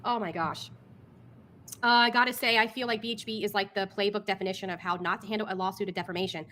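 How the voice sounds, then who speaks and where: A woman's voice: medium pitch, fairly even intonation, quick pace, sharp tone, a little hiss or hum. A woman hosting a casual live talk show, reading documents and commenting in an exasperated, opinionated way.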